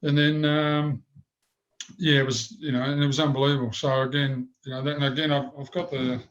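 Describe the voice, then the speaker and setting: A man's voice: medium pitch, little pitch movement, fairly quick, lo-fi sound, noisy background. An older man with a big voice, telling rambling stories in an interview. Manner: rambling anecdotes with many restarts. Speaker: former goalkeeper, guest. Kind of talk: storytelling interview.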